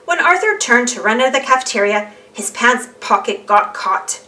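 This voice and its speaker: A woman reading a children's storybook aloud in an animated narrating style. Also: fairly high pitch, normal speed, recorded from a distance. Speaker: storybook reader.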